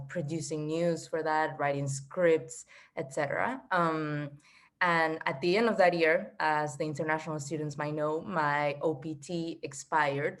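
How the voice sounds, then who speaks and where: A woman in her twenties from Argentina, telling the story of her career in a steady, fluent way over a video call. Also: deep voice. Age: 20s. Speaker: journalist guest. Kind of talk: casual career talk.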